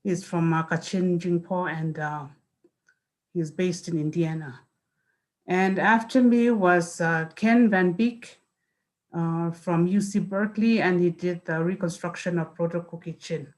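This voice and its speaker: A woman whose first language is Mizo speaks in a somewhat low voice over a video call. She introduces herself in a lecture, pausing often with fillers and restarts. Her delivery is unhurried and thoughtful. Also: steady tone, darkish tone. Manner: hesitant, many fillers and restarts. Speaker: guest speaker, linguist.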